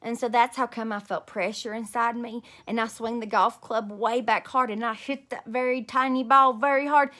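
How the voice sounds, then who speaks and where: Bright, higher-pitched woman reading to children. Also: normal speed, hardly any pauses. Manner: animated, emphatic. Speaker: teacher reading aloud to children. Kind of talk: expressive children's story read-aloud. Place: small room at home.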